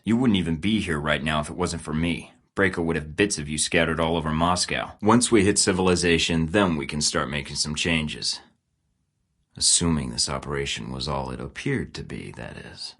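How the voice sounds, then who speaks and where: A man's voice: very deep voice, fairly bright tone. An actor voicing a hardened male secret agent in a video game, terse, sardonic and threatening. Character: hardened male spy in his thirties, defiant.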